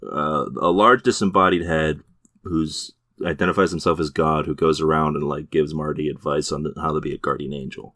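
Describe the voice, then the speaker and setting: A man's voice: extremely low pitch, steady tone, fairly quick, a bit bright, no echo, studio quality. A man co-hosting a podcast, chatting casually about TV shows with many fillers and restarts. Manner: hesitant, lots of fillers and restarts. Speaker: podcast co-host. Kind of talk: casual chat reviewing TV shows.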